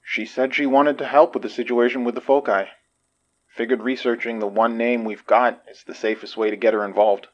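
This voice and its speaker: A man's voice: medium pitch, fairly even intonation, a little fast, dull audio, natural voice. A man playing a matter-of-fact member of an investigating team in a horror audio drama.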